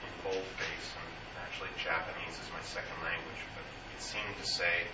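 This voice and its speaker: A man's voice: low-pitched, level tone, normal speed. A man in the audience asking a detailed question at a meeting, in a wry manner. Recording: distant in an echoey room, noisy lo-fi.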